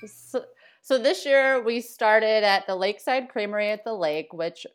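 A woman's voice: mid-range voice, a little slow, smooth. A woman in her thirties chatting casually on a local podcast, talking freely and laughing easily. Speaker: podcast guest. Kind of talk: casual chat.

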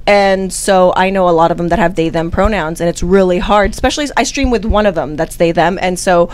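A woman's voice: lowish voice, fairly quick, clean tone. A woman whose first language is Tagalog, a podcast guest talking candidly and earnestly with frequent fillers. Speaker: guest, streamer. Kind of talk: casual podcast chat.

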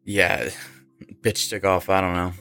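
An actor playing a hostile, evasive young rock musician with a defensive, menacing manner in an audio drama. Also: male voice, low voice, flat delivery, a little slow, bright, forceful tone, smooth, heavily acted.

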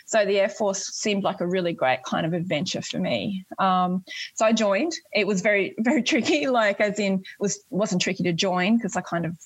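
A woman in her late forties with an Australian accent speaks as a guest in a conversational interview. Her voice sits at a middle pitch, and she talks in a chatty, meandering way. The recording is dry, with very little room sound. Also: normal speed, husky, no echo. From northwest Tasmania, Australia. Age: late 40s. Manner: chatty, rambling, frequent "you know". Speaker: interview guest, Air Force member. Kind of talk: conversational interview, recounting career.

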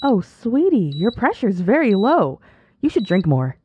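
A woman's voice: mid-range voice, big pitch swings, a little slow, muffled recording, loud music behind the voice. A woman playing a chatty, saccharine nurse with an unsettlingly careless manner in an audio drama. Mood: sugary, fussing. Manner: sugary endearments, breezy, chattering.

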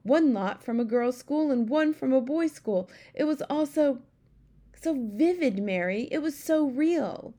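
A woman's voice: high-pitched, expressive, a little slow, mellow, pitched above the performer's own voice. A woman voicing a regal, commanding queen in a storybook, exclamatory and aristocratic in her phrasing. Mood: distressed, vivid. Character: well-known queen, regal, commanding, easily horrified older woman. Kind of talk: storybook character voice.

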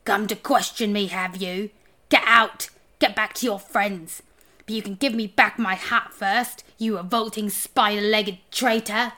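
A woman's voice: medium pitch, unhurried, a bit bright, lightly acted. A woman voicing a surly, suspicious male mountain dwarf who is easily outraged, in a children's fantasy storybook reading. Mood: furious, scornful. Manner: spitting, growling, shrieking when alarmed.

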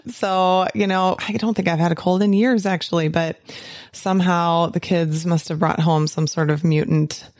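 A woman with a fairly low voice hosts her podcast from a small home office, talking in a relaxed, upbeat way. A cold leaves her a bit hoarse today. The sound is dry and close. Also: moderate pace, no echo. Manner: chatty, upbeat, laughs often. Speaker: podcast host. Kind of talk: casual podcast chat.

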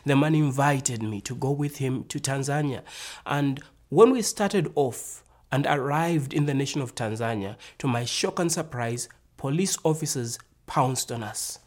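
Zambian guy telling his testimony, medium pitch, formal step by step storytelling. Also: measured pace. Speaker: university graduate and minister giving testimony. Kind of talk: prepared personal testimony narration.

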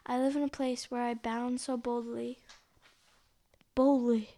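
A woman with a fairly high voice reads a picture book aloud as host. She takes it very slowly and haltingly, going back over words as she goes. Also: level tone, dark tone. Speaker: host of a read-along.

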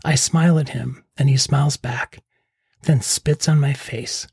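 A middle-aged man with a husky voice narrating a scripted first-person memoir as a podcast host. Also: mid-range voice, fairly even intonation, a little slow, a little mellow, dry recording. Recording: close dry voice over faint music.

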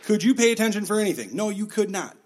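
Fast-talking joking man on a podcast. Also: high-pitched. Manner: joking, profane, animated storytelling. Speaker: podcast co-host. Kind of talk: casual chat.

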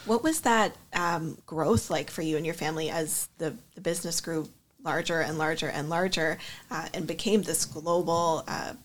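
A woman's voice: sits low, normal speed. A woman hosting a business podcast, reading a polished scripted introduction and then asking interview questions. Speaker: podcast host.

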